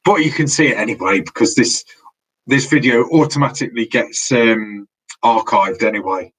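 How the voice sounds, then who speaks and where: Brief: man, live stream host, voice going hoarse, chatty and rambling, laptop mic, mid-range pitch. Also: moderate pace, fairly bright tone, poor audio. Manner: rambling, restarts often, chatty. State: losing his voice. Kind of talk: casual live stream sign-off chat.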